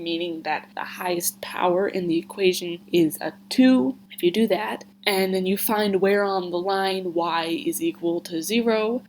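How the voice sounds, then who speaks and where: A woman's voice: mid-range voice, darkish tone, slight background noise, poor audio. A congested young woman teaching a casual math lesson, chatty and full of "like" and "right?". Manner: chatty, filler-heavy explaining with frequent "like" and "right?". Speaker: math tutor.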